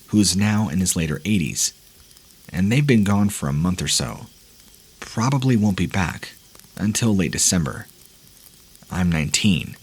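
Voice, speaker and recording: Husky low-voiced man narrating horror. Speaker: narrator reading listener stories.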